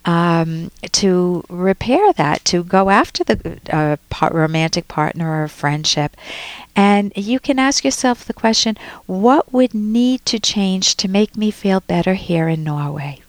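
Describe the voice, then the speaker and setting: A middle-aged woman hosts a call-in advice show, talking through a listener's problem in an unhurried, reassuring way. Her voice sits in an ordinary middle pitch and often restarts a phrase before landing it. The studio sound is close and dry, with no room around it. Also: no room sound. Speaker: radio advice show host. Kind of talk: reading a listener's letter and giving advice.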